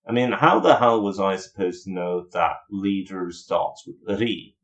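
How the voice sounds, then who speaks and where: A man's voice: low voice, unhurried, vocal fry. A man giving casual review commentary as a video reviewer, with dry, sarcastic asides.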